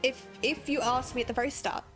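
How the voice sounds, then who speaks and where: A woman's voice: high voice, steady tone, fairly quick, a bit bright, soft background music. Chatty young woman, Australian-American accent. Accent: Australian with American drift. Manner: chatty, rambling, laughs often. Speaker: gaming streamer. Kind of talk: casual livestream chat while playing.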